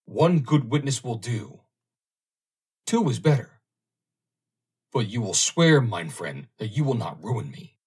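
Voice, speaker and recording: A man's voice: mid-range voice, big pitch swings, takes it slowly, pauses often, darkish tone, breathy edge, voice pushed up, heavily acted. A man reading an audiobook, voicing a frantic middle-aged male underwriter with a German accent and broken English. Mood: wheedling.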